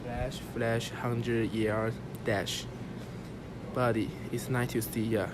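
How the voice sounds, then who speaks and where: A man's voice: mid-range voice, level tone, slow, natural voice. A man playing a sly, laid-back, teasing animal hustler in an amateur radio play. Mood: breezy, sly. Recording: noisy amateur room recording.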